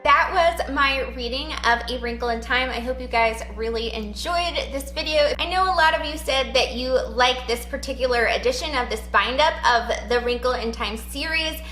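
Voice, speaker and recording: A woman's voice: high-pitched, normal speed, hardly any pauses, sharp tone. A woman online book reader chatting casually to her viewers. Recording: roomy echo with background music.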